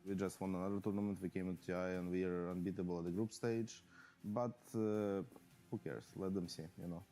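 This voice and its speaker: Deep, halting esports player. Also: male voice, fairly even intonation, mellow, faint music behind. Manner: halting, many fillers. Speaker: pro player interviewed. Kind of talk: post-match player interview.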